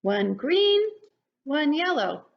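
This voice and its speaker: An older woman reads a picture book to small children. Her high voice moves up and down a lot and has some creak in it. The sound is a little dull, as from a webcam at home. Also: slow pace. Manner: animated, addressing young children. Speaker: children's story reader. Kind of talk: read-aloud picture book narration for preschoolers. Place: living room at home.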